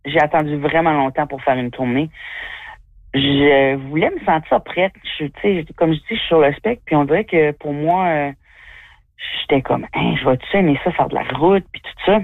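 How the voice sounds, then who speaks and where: A woman's voice: sits low, normal speed, no echo. A woman from New Brunswick, a comedian chatting effusively in an interview, full of fillers. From New Brunswick, Canada. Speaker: comedian and guest. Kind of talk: interview answers. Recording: tinny phone-like line.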